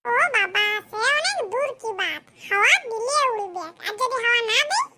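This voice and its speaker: Cheeky young villager for cartoon comedy, extremely high childlike voice, teasing and scolding, noisy track. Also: sing-song, normal speed, exaggerated voice. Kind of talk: comic cartoon dialogue in village dialect.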